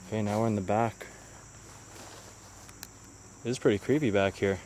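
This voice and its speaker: A young man filming himself as he explores a ruined town, narrating what he finds in a curious, hushed way. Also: fairly low pitch, steady tone, normal speed, a little hiss or hum. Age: young adult. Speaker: urban explorer vlogger. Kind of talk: walking exploration commentary. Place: outdoors and inside ruined buildings. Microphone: handheld camera held at arm's length.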